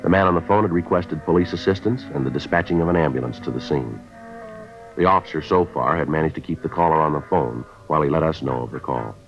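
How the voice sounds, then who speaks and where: An American actor playing a terse, deadpan police detective who narrates his case in a 1950s radio drama style. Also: very deep voice, fast talker. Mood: flat, matter-of-fact. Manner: deadpan, matter-of-fact. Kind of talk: 1950s radio acting, clipped scripted narration. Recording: dull vintage radio recording with music.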